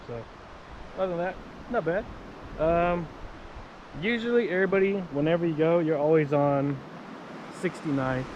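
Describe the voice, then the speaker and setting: Casual male tutorial vlogger, higher register, stop-start and repetitive delivery, noisy open-air recording near water. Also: highs cut off. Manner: halting, repeats himself, casual. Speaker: vlogger demonstrating handheld radios. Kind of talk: informal unscripted tutorial. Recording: noisy outdoor wind and water ambience.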